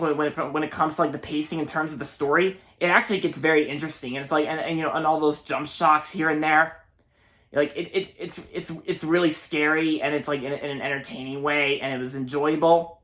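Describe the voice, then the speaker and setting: A man's voice: on the high side, a bit bright. A man in his twenties giving a casual, enthusiastic movie review, often repeating words and restarting his sentences. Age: 20s. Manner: enthusiastic, gushing.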